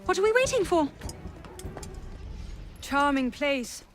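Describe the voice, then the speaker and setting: A woman's voice: sits high, big pitch swings, takes it slowly, soft background music, exaggerated voice. An actress playing a bold, witty young noblewoman in a video game, speaking in a wry, self-assured way. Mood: wry, curious. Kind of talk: video game dramatic acting.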